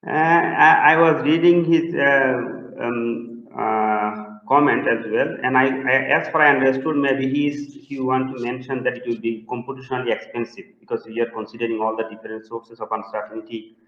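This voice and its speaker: Hesitant researcher from Bangladesh, echoey call. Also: male voice, mid-range voice, fairly even intonation, moderate pace, hardly any pauses. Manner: hesitant, many fillers and restarts. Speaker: presenting researcher answering questions. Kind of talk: webinar Q&A answers. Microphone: video call. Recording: echoey, dull lo-fi call audio.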